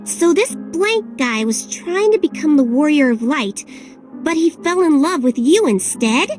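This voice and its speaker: Very high-pitched, teasing little fairy. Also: female voice, lively intonation, unhurried, darkish tone, faint music behind. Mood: nosy, exasperated. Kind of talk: video game voice acting.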